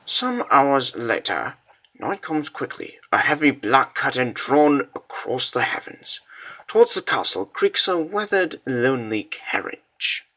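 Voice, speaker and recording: Guy narrating a fantasy story, slow, scripted, voice moves up and down a lot. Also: medium pitch, sing-song, bright, forceful tone.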